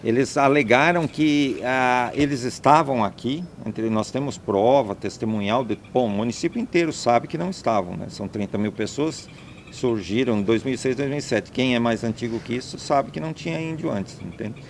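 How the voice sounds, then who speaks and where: A man's voice: medium pitch, normal speed, a little hiss or hum. A man being interviewed as a landowner, talking emphatically and stacking up points one after another. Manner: emphatic, listing and repeating points. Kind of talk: conversational interview.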